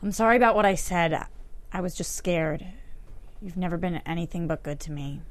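A woman's voice: lowish voice, a little fast, natural voice. An actress at a table read playing a guarded, wry young woman at a wedding. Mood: earnest. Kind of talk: scripted table read acting.

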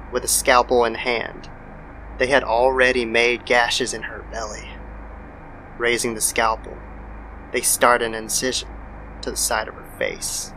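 Gravelly man narrating horror, noisy background. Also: mid-range voice, sharp tone. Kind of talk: scripted horror story narration.

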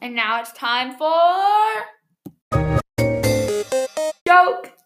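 A woman's voice: very high voice, lively intonation, extremely slow, loud music behind the voice. A woman hosting and narrating a storybook for children.

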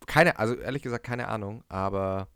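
A young man co-hosting a casual podcast chat in German, halting and restarting often and laughing easily. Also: lowish voice, fairly bright tone, clear, resonant tone, no echo, studio quality. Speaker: podcast co-host.

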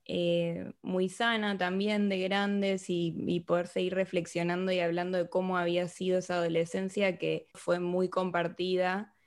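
Mujer reflexiva de treinta años, voz algo grave. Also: female voice, lowish voice, level tone, normal speed, few pauses. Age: 30s. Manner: reflective, thoughtful. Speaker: podcast host. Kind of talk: casual interview chat.

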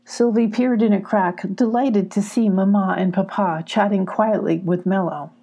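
An older woman storyteller reading for children. Her voice is mellow with a soft husky edge, at a middling pitch. She narrates expressively and takes her time. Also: steady tone, moderate pace. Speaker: story reader and host.

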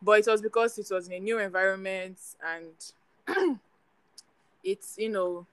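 A woman's voice: mid-range voice, steady tone, unhurried, smooth, no room sound. A young woman from Nigeria co-hosting a podcast with a sweet, soothing voice, chatty and rambling with many fillers. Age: young adult. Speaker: podcast co-host. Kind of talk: casual chat.

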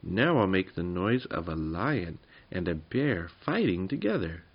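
Man playing tall long-nosed goblin, friendly, breezy, husky, slow-paced, storybook character. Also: low-pitched, sing-song, muffled recording, full character voice. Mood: modest, eager.

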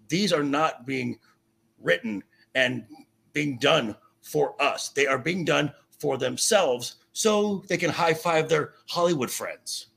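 A man with a raspy voice in a heated pop culture discussion, insistent and halting, often repeating himself. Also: on the high side, sharp tone. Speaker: podcast guest. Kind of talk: heated opinionated film and TV discussion.

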